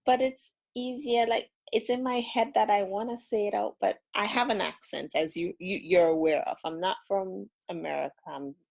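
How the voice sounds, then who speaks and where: A woman with a Caribbean accent calls in and talks in a halting, earnest way, full of restarts. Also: medium pitch, steady tone, creaky, a little mellow. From the Caribbean islands. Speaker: caller. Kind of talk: casual call-in conversation.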